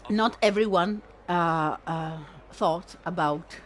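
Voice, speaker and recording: A woman with an Italian accent, casually commenting on a game stream in a sardonic, animated way and swearing freely. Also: on the low side, takes it slowly, slight background noise. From Italy. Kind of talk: casual let's-play commentary.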